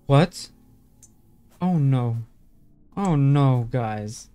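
A man's voice: medium pitch, lively intonation, very slow, mellow, clean tone, no room sound, soft background music. A young man streaming a horror game through a headset, reacting with jumpy, nervous exclamations and calling his viewers bro, his voice a little strained. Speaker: gaming streamer. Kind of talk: horror game playthrough commentary. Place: small room indoors.

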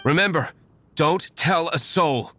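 A man's voice: a little high, slow pace, bright, forceful tone, soft background music, heavily acted. An actor playing a brooding, aloof young nobleman in a video game, speaking in a cool, formal, disdainful manner. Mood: brisk, firm.

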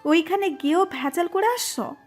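A very high, girlish voice acted by a woman plays a college-age younger cousin in an audio story. She teases and chides with a whiny edge, over faint music. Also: animated, moderate pace. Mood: warning, scolding. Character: sleepy, chiding teenage younger girl cousin, a college student.

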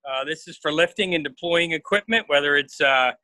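A man in his forties guiding a live walking tour of a research boat and dock, talking casually with many pauses and fillers, filmed on a handheld phone. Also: on the high side, pushed and bright, dry recording. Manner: casual, hesitant with frequent uh fillers. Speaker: marine field station staff guide. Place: aboard a workboat and on a dock outdoors. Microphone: phone camera held at arm's length.

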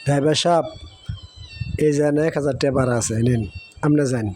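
A man's voice: a little high, steady tone, fairly quick, darkish tone, soft background music, lightly acted. A man voicing an anxious village father in a cartoon, speaking colloquial rural Bangladeshi Bengali with urgency. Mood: instructing. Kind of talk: amateur cartoon voice acting.

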